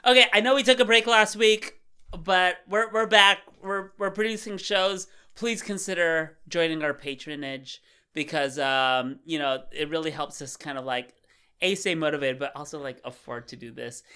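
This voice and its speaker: A podcast host with a bright, smooth, crisp voice chats loosely with a guest. The talk is casual and full of quick encouraging replies, with fillers and little restarts. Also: medium pitch. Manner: quick encouraging backchannels, chatty. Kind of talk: casual chat.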